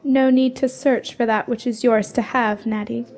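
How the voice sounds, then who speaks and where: A woman's voice: fairly high pitch, steady tone, normal speed, darkish tone, lightly acted. A woman playing a fierce, proud young warrior in a fantasy radio drama, bold and blunt in her delivery. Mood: defiant. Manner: bold, boastful, declarative.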